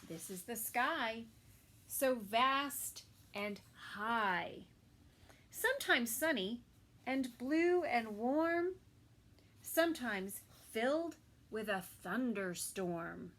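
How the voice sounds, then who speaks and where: A woman reads a children's picture book in a fairly high voice that swings expressively up and down. She takes it very slowly, with sing-song phrasing and soft asides to her young listeners. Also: animated. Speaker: children's story time reader. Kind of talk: expressive picture-book read-aloud for toddlers.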